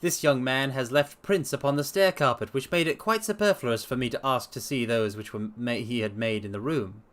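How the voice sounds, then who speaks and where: A man voicing a self-assured gentleman detective in a storybook reading, brisk, precise and emphatic as he explains himself. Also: mid-range voice, close to an everyday voice. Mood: analytical, confident.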